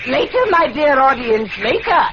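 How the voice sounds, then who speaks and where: A man's voice: very high voice, expressive, moderate pace. A man acting in an old radio drama as a frantic, unhinged former stage actor who declaims in a florid, hysterical way. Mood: grandiose, mad. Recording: muffled, very noisy old radio recording.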